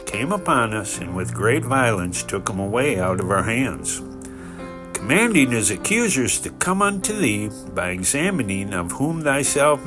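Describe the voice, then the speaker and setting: A man giving a measured, expressive reading of scripture in a formal narrating style. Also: medium pitch. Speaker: scripture reader. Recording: music behind the voice.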